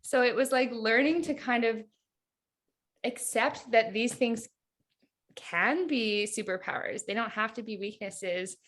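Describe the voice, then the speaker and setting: A woman's voice: a little high, measured pace, fairly bright tone. A woman in her thirties, a hypnotherapist guest on a podcast, talking in a chatty, reflective way with many fillers like "like". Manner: chatty, reflective, heavy use of "like". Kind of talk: casual podcast interview.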